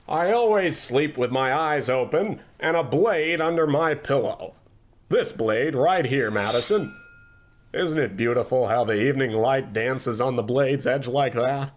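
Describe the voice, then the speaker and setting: An actor playing a pompous, ruthless rich tycoon in a radio-style comedy, talking down to his staff with sinister muttered asides. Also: fairly high pitch. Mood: menacing. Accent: New England. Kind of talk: old-time radio comedy acting.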